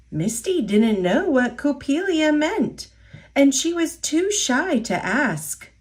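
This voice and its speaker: A woman reading a children's picture book aloud in an expressive storytelling voice. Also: mid-range voice.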